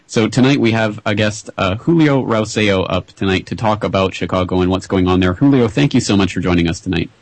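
A man hosts a radio talk show in a measured, wry way, often restarting with "uh." His voice sits fairly low. It is recorded close and dry, with no room sound. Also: no echo. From Canada. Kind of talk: radio interview.

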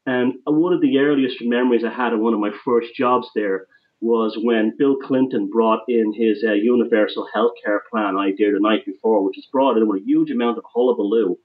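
A man's voice: lowish voice, steady tone, moderate pace. An Irish man, a guest author, telling his story in a rambling, conversational way over the phone. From Ireland. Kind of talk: phone interview. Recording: dull, distant phone line.

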